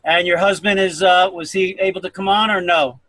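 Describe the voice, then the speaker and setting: A man's voice: high-pitched, steady tone, brisk, pushed and bright, dry recording. A man organizing over a group video call, speaking hesitantly with many fillers.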